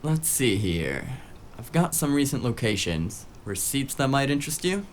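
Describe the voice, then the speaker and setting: An actor playing a cheeky, eager, tech-savvy sidekick in a noir audio drama, with breezy, casual banter. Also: male voice, medium pitch, very animated, moderate pace, slight background noise, lightly acted. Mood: casual, informative.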